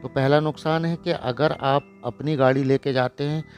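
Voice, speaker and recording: A man's voice: medium pitch, steady tone, moderate pace. A man hosting a poultry farming channel, narrating a voice-over with blunt, lecturing and sarcastic remarks about careless buyers. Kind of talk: voice-over narration for a farm video. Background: soft background music.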